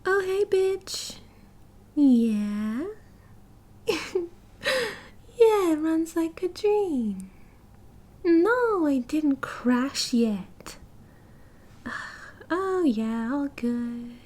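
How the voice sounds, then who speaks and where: A woman's voice: sits high, very animated, mellow, heavily acted. A British woman playing a cheerful, oblivious and possessive yandere girlfriend in an ASMR roleplay, with a breezy, giggly, dramatic manner. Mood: chummy. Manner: giggly, breezy, dramatic, chatty with drawn-out casual delivery.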